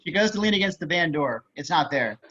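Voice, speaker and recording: Bright, high-voiced man reading stage directions. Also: lively intonation, a little fast. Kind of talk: screenplay table read narration. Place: group video call. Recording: slightly dull video-call audio.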